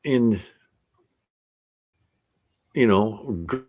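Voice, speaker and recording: An older man in his sixties with a very low, deep voice talks slowly and unhurriedly to his class. He sounds earnest and plainspoken as an art resilience instructor, encouraging his listeners in a casual way.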